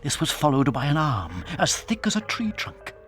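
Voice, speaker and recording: A man with a British accent narrating a dramatized children's story in a suspenseful, theatrical way that can drop toward a hush. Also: on the high side, huge pitch swings, moderate pace, faint music behind. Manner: dramatic, suspenseful, hushing to near whispers.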